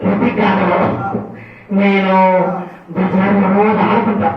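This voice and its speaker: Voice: mid-range voice, little pitch movement, measured pace, music in the background, natural voice. A performer voicing a cheeky, food-obsessed little boy puppet in a children's show, playful, mischievous and blunt. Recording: muffled, echoey far mic, crowd noise.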